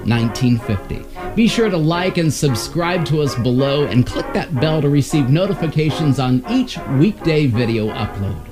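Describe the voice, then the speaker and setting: A man hosting with scripted narration about vintage radio. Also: on the high side. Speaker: host. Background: music.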